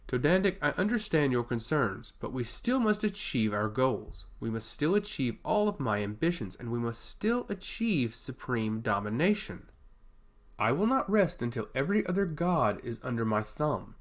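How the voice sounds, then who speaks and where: A man's voice: mid-range voice, very animated, close to an everyday voice. Man voicing a commanding, ambitious sea god. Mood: domineering. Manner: commanding, stoic, deliberate. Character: stern, domineering sea god with trident, ambitious. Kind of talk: fantasy audiobook dialogue.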